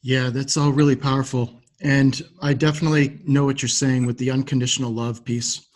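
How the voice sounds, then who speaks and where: A man hosting a podcast interview, responding in a measured, affirming and supportive way. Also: medium pitch, flat delivery, a little mellow.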